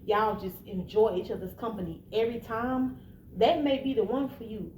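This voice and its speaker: A woman's voice: on the high side, normal speed, a little mellow. An American woman of about thirty-one giving blunt, casual, emphatic relationship advice straight to the listener in an informal monologue. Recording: echoey room, faint background noise.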